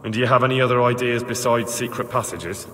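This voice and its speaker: A man's voice: medium pitch, fairly even intonation, quick pace, fairly bright tone, long reverb tail, lightly acted. A man playing an earnest, morally uneasy would-be bandit recruit in a medieval video game, probing and challenging as he talks. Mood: questioning. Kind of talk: video game cutscene acting.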